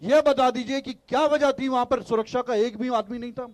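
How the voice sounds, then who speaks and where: A man's voice: extremely high pitch, steady tone, brisk. A man speaking as an opposition party spokesman in a heated TV debate, insistent and argumentative, pushing on through interruptions.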